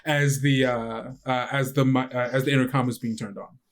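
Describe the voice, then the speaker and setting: A man narrating a tabletop roleplaying game as game master, improvising descriptions in a halting, conversational way. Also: medium pitch, fairly even intonation.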